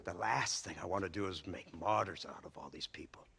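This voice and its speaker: An actor playing a smug, menacing government lawman in a game, with an American accent, a husky voice and condescending mock politeness. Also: sits low, fairly quick, sharp tone, exaggerated voice. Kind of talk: video game cutscene acting.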